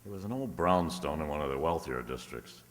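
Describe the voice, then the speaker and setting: Radio private eye, male actor: very low voice, dry and streetwise, long hall reverb. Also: expressive, fairly quick. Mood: wry, businesslike. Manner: wry, hardboiled patter. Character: wisecracking male private detective, dry, streetwise, soft-hearted. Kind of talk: old-time radio detective drama acting and narration.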